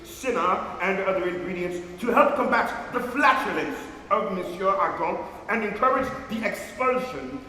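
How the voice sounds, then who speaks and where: An actor playing a fussy, irascible, self-pitying hypochondriac master, pompous and querulous, in a theatrical comedy style. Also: male voice, sits high, expressive, normal speed, few pauses, pushed and bright. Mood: fussy reckoning. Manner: pompous, querulous, exclamatory scolding. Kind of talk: theatrical comedy stage acting. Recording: echoey hall, distant, faint noise.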